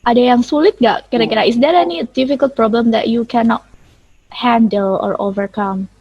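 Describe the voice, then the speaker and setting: A woman's voice: on the high side, a little fast, a little mellow, husky, no echo, highs cut off. A woman, hosting an online session in a friendly way and reading out audience questions. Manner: friendly, reads out questions, many backchannels. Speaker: host, moderator.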